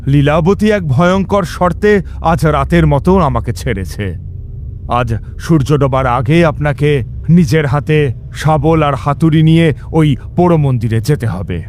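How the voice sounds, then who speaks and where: A man's voice: on the high side, expressive, moderate pace, a little mellow, lightly acted. A man playing a stern, fearless tantric priest in a horror audio drama, solemn and commanding. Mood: grave warning.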